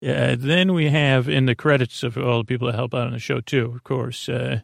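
A man with a creaky voice hosting a sleep podcast, soothing and meandering, halting as he wanders off on gently absurd, self-deprecating tangents. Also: mid-range voice, fairly even intonation, no room sound, clean studio sound. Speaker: host of a bedtime sleep podcast. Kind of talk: rambling, soothing bedtime monologue.

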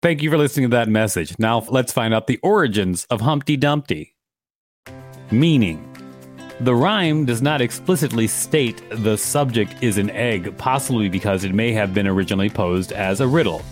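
A man's voice: lowish voice, lively intonation, moderate pace, soft background music. A man co-hosting a podcast, reading an encyclopedia article aloud with casual asides. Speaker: podcast co-host and reader.

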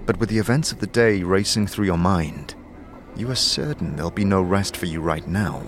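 A man's voice: sits low, normal speed, soft background music. A man reading scripted fantasy narration as the storyteller of an audio drama, addressing the listener as you.

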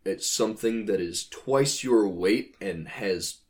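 A man's voice: on the low side, slow, a little mellow. A man chatting casually as a podcast co-host, with a wry, joking way of speculating.

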